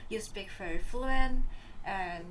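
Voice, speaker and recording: A young woman from West Kalimantan with an Indonesian accent, hosting a casual student podcast in English. She asks curious questions and pauses often mid-sentence. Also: medium pitch, a bit bright. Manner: curious, halting. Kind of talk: casual chat. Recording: distant mic with faint noise.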